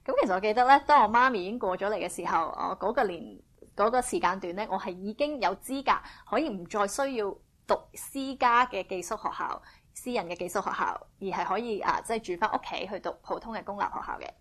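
A woman interprets a sermon phrase by phrase, quick and fluent. Her pitch sits in an ordinary middle range, and she rattles through each line at a brisk clip. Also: a bit bright.

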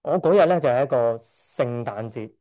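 A middle-aged man from Hong Kong speaks at a church service with a mellow, warm voice. He is hesitant, stops often to correct himself and lets out a shy chuckle now and then. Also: medium pitch, animated, moderate pace. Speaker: church member giving testimony. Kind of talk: church testimony speech.

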